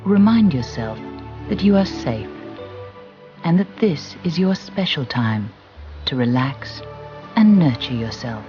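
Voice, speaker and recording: A soothing, gentle voice guiding a relaxation and visualisation exercise, deliberate and with long pauses. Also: medium pitch, very animated. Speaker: guided relaxation instructor. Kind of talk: guided visualisation narration. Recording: muffled recording with music behind. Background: background music.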